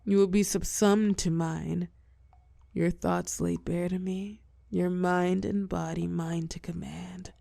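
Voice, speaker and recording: A fallen heroine turned telepath villain, acted by a woman, speaks close and dry in a lowish, crackling voice. She is weary and wry, tender with an edge of menace, pausing for effect as in an intimate audio drama. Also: steady tone, gravelly, mellow, dry recording, full character voice. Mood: menacing, possessive. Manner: soothing pet names, wry bitterness, dramatic pauses, drops into whispers. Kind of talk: intimate scripted audio roleplay monologue.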